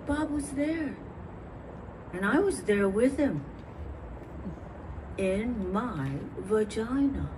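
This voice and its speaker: Recorded from a distance in a noisy live venue, an actress plays a woman fondly recounting an intimate awakening. Her delivery is theatrical and savouring, with big swoops in pitch and lingering, deliberate pauses. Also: animated. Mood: tender, sensual. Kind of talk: theatrical monologue at a staged reading.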